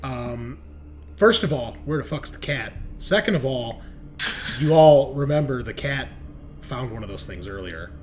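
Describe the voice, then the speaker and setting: A man's voice: medium pitch, animated, unhurried, a little mellow, slight background noise. A man narrating a tabletop roleplaying game as game master, improvising in a casual, conversational way. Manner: casual, conversational, occasional swearing.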